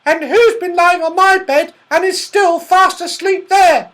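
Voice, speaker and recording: A woman voicing a tiny, indignant baby bear in a children's storybook, squeaky and plaintive. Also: measured pace, a bit bright.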